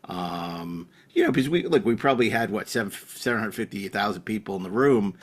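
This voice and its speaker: Low-voiced, halting male podcast host. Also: lively intonation. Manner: hesitant, halting, repeats words. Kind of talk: casual, rambling podcast chat.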